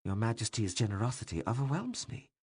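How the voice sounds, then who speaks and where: A male narrator voicing a composed woman court doctor who answers in a dry, sarcastic, measured way. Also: medium pitch, sing-song, breathy edge, dry recording, pitched above the performer's own voice.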